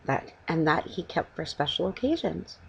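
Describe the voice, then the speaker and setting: A middle-aged woman reading a children's story aloud at home, in a somewhat halting way. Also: low voice, big pitch swings, creaky, highs cut off, a little hiss or hum. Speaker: amateur storybook reader. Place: room at home, indoors.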